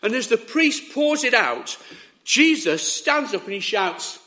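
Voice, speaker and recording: A man preaching a sermon with a hoarse, sore throat, emphatic and often checking that his listeners are following. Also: very high-pitched, very animated, measured pace, sharp tone.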